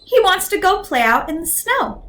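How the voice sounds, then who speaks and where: A young woman teacher reading a picture book aloud to young learners, slow and expressive, acting out the sound effects, then asking simple questions. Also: very high-pitched.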